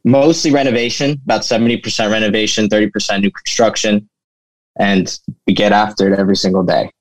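A young man speaks as an interview guest, telling his story in a loose, casual way. His voice sits a little low and is peppered with "you know." The recording is close and dry. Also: steady tone, fast, no echo, rough recording. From United States, born in Turkey. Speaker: interview guest, flooring business owner.

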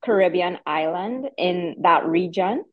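A woman's voice: on the low side, unhurried, muffled recording. Trinidadian woman explaining her homeland. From Trinidad and Tobago. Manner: explanatory, relaxed, repeats words while thinking. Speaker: podcast guest. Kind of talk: casual interview chat.

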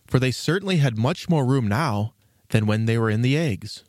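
A man's voice: medium pitch, animated, normal speed, darkish tone, no room sound, clean studio sound. A man hosting and narrating a scripted children's storybook programme in a theatrical storytelling manner. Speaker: host and narrator.

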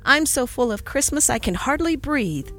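A woman voicing a kindly older male country doctor who speaks gently and fondly. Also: high voice, expressive, pitched above the performer's own voice. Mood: tender amused. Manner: gentle, fond, slow.

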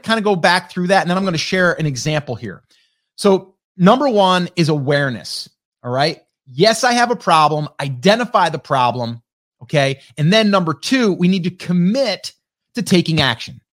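A man in his late forties gives an easygoing motivational coaching talk. His voice sits a little high for a man. He keeps asking his listeners "okay?" and "right?" as he goes. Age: 40s. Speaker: marketing coach hosting a coffee talk.

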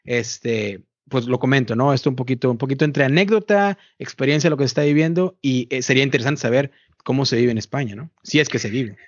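A man's voice: mid-range voice, brisk, hardly any pauses, no echo. Chatty man, muffled audio. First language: Spanish. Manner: chatty, asks questions, repeats words. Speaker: podcast host. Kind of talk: casual podcast conversation. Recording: dull, muffled dry audio.

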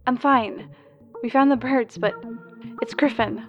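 A woman's voice: sits high, a little slow, over music. A woman playing an anxious, polite young girl, hesitant and earnest on a muffled phone call. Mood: worried. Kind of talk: audio drama acting.

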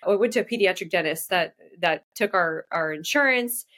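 A woman's voice: on the low side, bright, forceful tone. A woman guest from Iraq, a dentist, talking casually in an interview and often restarting her sentences. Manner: fast, restarting, self-correcting speech.

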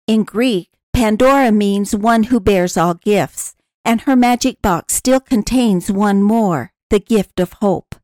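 A woman's voice: mid-range voice, a little mellow, no room sound. Woman narrator in a dry, close recording. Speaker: podcast announcer and reader. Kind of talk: scripted narration, reading a personal essay aloud.

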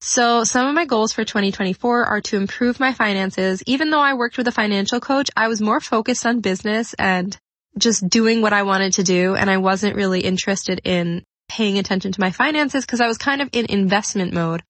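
A woman's voice: mid-range voice, fairly quick, a bit bright, dry recording. A woman hosting a solo podcast, talking earnestly and upbeat with a congested, stuffy voice and frequent fillers. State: congested from a cold. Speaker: podcast host.

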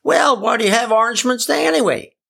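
An excitable old outport uncle from a storybook, acted out by a man. His Newfoundland speech is folksy and full of exclamation, pitched up high and swinging widely. He sounds easily affronted. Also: moderate pace, gravelly, exaggerated voice. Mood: indignant.

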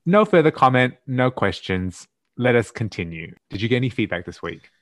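A man's voice: medium pitch, sing-song, moderate pace, no room sound. A man from Australia whose first language is Mandarin, co-hosting a casual podcast in a playful, camp, mock-dramatic way with frequent laughter. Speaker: podcast co-host.

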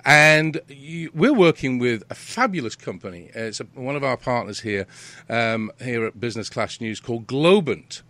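A man's voice: mid-range voice, expressive, normal speed, pushed and bright. Rambling British male host. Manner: rambling, repeats words and restarts often. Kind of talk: casual interview hosting.

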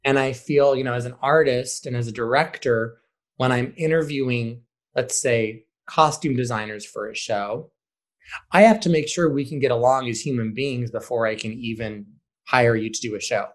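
A man who teaches and directs theater, answering interview questions in an expressive, emphatic, conversational way. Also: medium pitch, moderate pace.

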